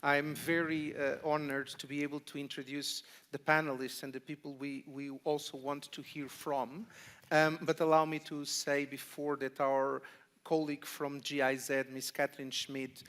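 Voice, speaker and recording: A man's voice: a little high, little pitch movement, normal speed, a bit bright. A man moderating a conference panel, introducing speakers formally and courteously with occasional hesitations. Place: conference room.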